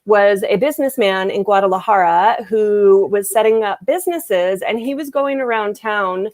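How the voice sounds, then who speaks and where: A woman's voice: medium pitch, moderate pace, darkish tone, dry recording. A woman translator and interpreter answering interview questions in a casual, hesitant way, with many fillers and restarts over a video call.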